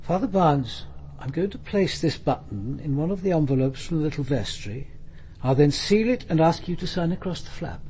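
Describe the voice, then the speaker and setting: An actor playing a measured, formal, courteous senior police commander in a radio drama. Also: male voice, on the high side, animated, dark tone, a little hiss or hum, lightly acted.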